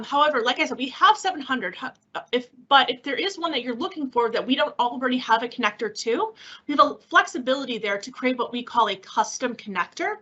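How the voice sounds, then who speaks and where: A woman's voice: on the high side, pushed and bright. A woman giving an informal, upbeat, encouraging product explanation as a technical presenter on an online call. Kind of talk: conversational webinar product explanation. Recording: slightly dull online-meeting audio.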